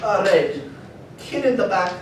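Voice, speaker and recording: A woman's voice: mid-range voice, very animated, measured pace. A woman playing a patient but exasperated teacher of young superhero children in a comedic script read live. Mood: weary, exasperated. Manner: coaxing, wearily exasperated. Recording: echoey panel room, distant mic, faint noise.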